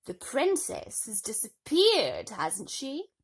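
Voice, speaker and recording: A woman voicing a vain, domineering stepmother in a storybook, snarling and booming imperiously. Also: huge pitch swings, slow pace, husky, exaggerated voice.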